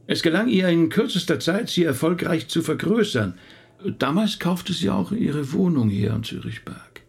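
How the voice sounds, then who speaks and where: A man's voice: fairly high pitch, lively intonation, normal speed, vocal fry, breathy edge, exaggerated voice. A male actor playing a middle-aged rabbi in a naturalistic radio drama, thoughtful, talkative and a little hesitant as he recounts family history. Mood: reflective, reminiscing. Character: thoughtful male rabbi recounting his late relative's life.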